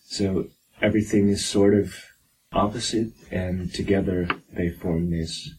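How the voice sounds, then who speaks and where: Deep voiced musician guy explaining stuff slowly, mic far away with a bit of noise. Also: fairly even intonation, darkish tone. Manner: deliberate and explanatory, with filler pauses. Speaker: musician, interviewee. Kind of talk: casual interview answers.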